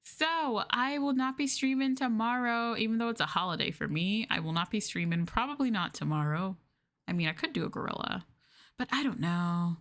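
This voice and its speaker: A woman's voice: a little high, normal speed, a bit bright. Chatty woman streamer, bubbly delivery. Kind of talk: casual livestream chat.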